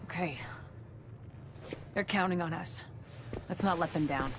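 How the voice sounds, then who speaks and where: Low-voiced, determined actress as female agent. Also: unhurried, natural voice. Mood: frustrated, resolute. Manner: earnest, resolute. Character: determined, weary female government field agent. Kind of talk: dramatic game cutscene acting. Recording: clear background ambient noise. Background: ambient noise.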